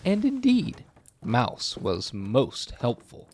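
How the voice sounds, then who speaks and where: A man narrating a children's picture book aloud in an expressive storytelling style. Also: mid-range voice, slow pace, slight background noise.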